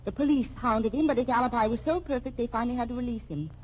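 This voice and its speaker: A woman's voice: fairly high pitch, fast, a little mellow, close to an everyday voice. A woman playing a cool, accusatory wife in a vintage radio mystery drama. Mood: cool, insistent. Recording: dull, noisy old radio recording.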